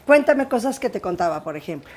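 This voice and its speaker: A woman with a Mexican accent hosts a casual interview in a voice of middle pitch. She is chatty and keeps her guest talking with short prompts such as "oye" and "¿no?". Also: big pitch swings, quick pace, darkish tone. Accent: Mexican Spanish. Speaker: interview host. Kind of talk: friendly conversational interview.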